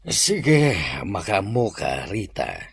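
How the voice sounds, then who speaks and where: A man's voice: low-pitched, huge pitch swings, takes it slowly, vocal fry, breathy edge, no echo, clean studio sound, heavily acted. A man playing a devout, reserved and agreeable husband in a drama, with an Ilocano accent. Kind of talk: acted dialogue in an Ilocano drama.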